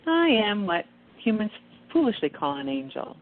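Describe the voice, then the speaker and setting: A woman playing a serene, knowing angel guide in a radio play, speaking with confident, measured emphasis. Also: medium pitch, very animated, close to an everyday voice. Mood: matter-of-fact. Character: serene, knowing female angel guide in kittenish human guise. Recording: tinny, band-limited phone-like sound.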